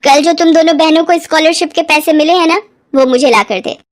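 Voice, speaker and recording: A woman's voice: high voice, fairly quick, dry recording, studio quality, pitched above the performer's own voice, lightly acted. A woman voicing a stepmother in a moral story, bossy and resentful, who dotes on her son. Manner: scolding, bossy.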